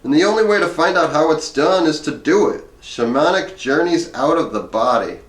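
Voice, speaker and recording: A man's voice: on the high side, expressive, moderate pace, voice pushed up, natural voice. A man voicing a rambling, drug-worn older improv guru in a run-on, scattershot monologue. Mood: rambling, matter-of-fact. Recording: roomy, echoing room sound.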